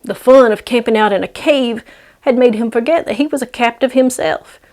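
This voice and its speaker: A woman's voice: a little high, normal speed, a little mellow. A woman storyteller narrating in a dramatic, scripted read-aloud style.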